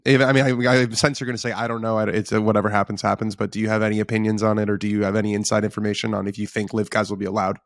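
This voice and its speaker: Male co-host from Iowa, quick talker, plain dry recording. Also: on the low side, little pitch movement, creaky, no echo. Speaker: podcast co-host. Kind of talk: casual podcast interview.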